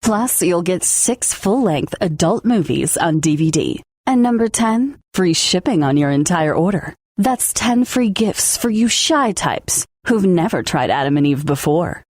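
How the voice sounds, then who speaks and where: A woman's voice: fairly low pitch, lively intonation, a little slow, hardly any pauses, darkish tone, dry recording, clean studio sound. A woman announcer reading a scripted radio advertisement with an enticing sales delivery.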